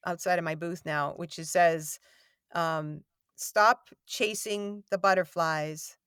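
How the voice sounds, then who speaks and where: Chatty woman with a slightly gravelly voice. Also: fairly low pitch, steady tone, slow, a bit bright, no echo, studio quality. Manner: rambling, self-deprecating, many restarts. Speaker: voice actor guest. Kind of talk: casual interview chat. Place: home recording booth.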